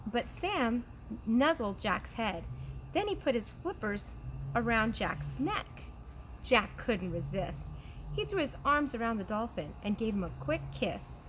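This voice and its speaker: A woman reading a children's story aloud outdoors in an animated teacher's way. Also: on the high side, a little slow. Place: outdoors on a trampoline. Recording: background noise, outdoor ambience.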